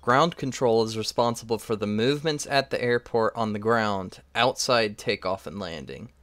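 A man's voice: lowish voice, normal speed, a bit bright, dry recording. Dry-sounding young man narrating a lecture. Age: young adult. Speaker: student presenter.

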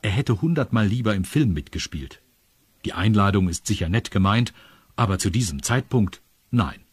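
A man's voice: fairly low pitch, animated, normal speed, breathy edge. A man with a standard German accent narrating a scripted children's audio story.

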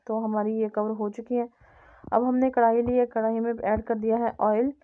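A woman narrating a home recipe as host, explaining step by step and repeating her instructions. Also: a little high. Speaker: home cooking channel host. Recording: dull, lo-fi home recording.

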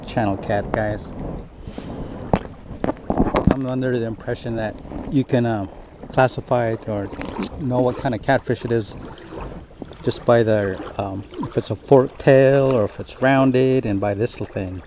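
A man's voice: mid-range voice, fairly even intonation, unhurried, long pauses. A man chatting casually and informally while fishing on a lake shore, recorded on a body-worn action camera. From central California. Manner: informal, chatty, talks to the fish. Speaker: fishing vlogger. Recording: clearly noisy outdoor action-camera audio, wind.

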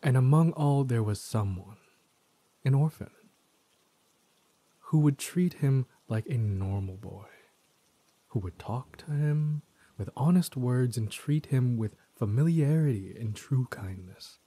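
Guy voicing an evil possessing spirit for a tragic audio drama, menacing and tormented, drawn out theatrical delivery, husky breathy voice. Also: medium pitch, lively intonation, mellow, no room sound. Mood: taunting storyteller. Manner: theatrical, slow and deliberate, dropping into whispers. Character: possessing villain spirit in a childhood friend's body, menacing, tormented. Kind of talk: scripted dramatic audio roleplay monologue.

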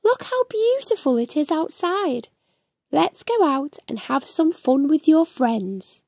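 A woman's voice: sits high, very animated, slow pace, gravelly, warm tone, no room sound, clean studio sound, voice pushed up, full character voice. A woman voicing a kind, encouraging little girl in a storybook, sweet and coaxing.

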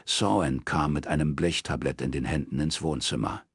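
A man reads crime fiction as a scripted audiobook. His voice is deep and creaky, captured in a dry, close recording. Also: normal speed, no echo. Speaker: audiobook narrator. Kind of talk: scripted crime novel narration.

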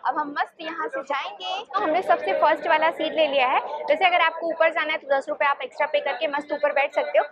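A woman's voice: sits high, steady tone, fast talker, hardly any pauses. A young woman filming a travel vlog outdoors on her phone, chatting upbeat as she explains each stop like a tour guide. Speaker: travel vlogger. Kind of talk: casual travel guide narration. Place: outdoors on a ferry and a busy island path. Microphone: phone camera held at arm's length. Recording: dull lo-fi phone audio with a crowd behind.

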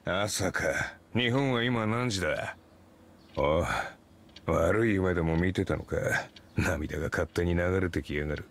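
A man's voice: low voice, measured pace, a little hiss or hum. An actor playing a cold, vengeful hunter grieving his brother in a dramatic anime game cutscene. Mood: brooding. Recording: loud music behind.

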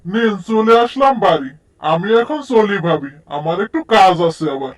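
A man plays a courteous, helpful neighbour in a cartoon. He speaks high for a man, with lively pitch and a polite, reassuring manner. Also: normal speed, far from the mic, a little hiss or hum. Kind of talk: cartoon dub acting.

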